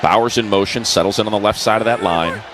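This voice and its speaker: A man's voice: on the low side, fast talker, noisy background. A man doing live football play-by-play on the radio. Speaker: play-by-play announcer. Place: open stadium broadcast booth. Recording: loud stadium ambience behind. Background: loud stadium ambience.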